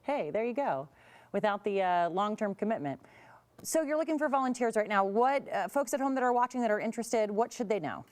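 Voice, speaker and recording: A woman's voice: medium pitch, expressive, a little fast, a little mellow. An American woman presenting a live morning television show, introducing guests and putting questions to them conversationally. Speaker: TV morning news anchor.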